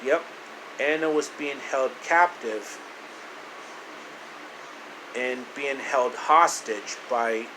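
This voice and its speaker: A man telling a story in improvised narration, halting and repeating his phrases as he goes. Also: medium pitch. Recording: loud steady room hum and hiss.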